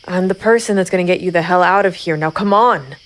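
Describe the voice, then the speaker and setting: A woman's voice: on the low side, quick pace, slight background noise, lightly acted. A woman playing a cold, composed vigilante investigator, narrating a gritty noir crime audio drama in a controlled, stern way. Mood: determined, sombre. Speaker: narrator and lead character.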